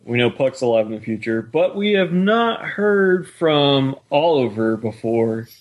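A man's voice: mid-range voice, animated, a little slow, poor audio. A man co-hosting a podcast, chatting casually as a fan about a story. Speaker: podcast co-host.

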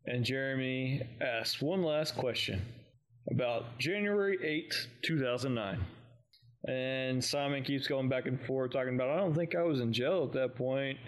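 A man's voice: mid-range voice, moderate pace. A man co-hosting a fan podcast, casually recapping an audio drama in an informal, slightly hesitant way, thinking aloud as he goes.

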